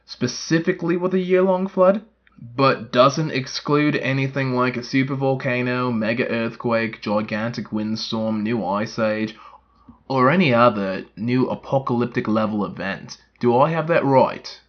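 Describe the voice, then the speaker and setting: A man's voice: medium pitch, unhurried, close to an everyday voice. A man playing a blunt, skeptical military commander in scripted comic dialogue, questioning everything with disbelief, through a dull, narrow sound. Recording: dull, band-limited, filtered-sounding voice.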